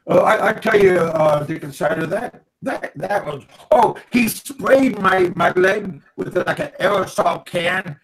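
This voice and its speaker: A man's voice: high voice, a little slow, vocal fry, hardly any pauses, breathy edge. A man on a video call telling a rambling, self-mocking story as the show's guest. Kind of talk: casual group video call.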